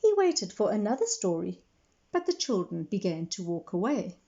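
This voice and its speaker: A woman's voice: mid-range voice, big pitch swings, normal speed, dark tone, lo-fi sound. A woman reading a picture book aloud to children as a librarian, with simple explanations along the way. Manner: clear explanatory storytelling for young listeners.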